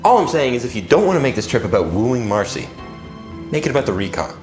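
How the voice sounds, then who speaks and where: A man's voice: mid-range voice, sing-song, fast, natural voice. A young man acting a loyal, persuasive werewolf second-in-command in an audio drama, talking casually. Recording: faint music bed behind the voice.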